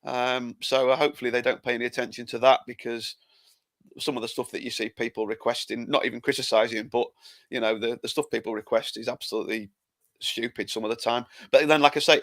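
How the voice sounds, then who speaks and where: A man's voice: mid-range voice, fairly bright tone, no echo. An English man co-hosting a football podcast in casual chat, often restarting his sentences. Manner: hesitant, lots of repeated starts. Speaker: podcast co-host.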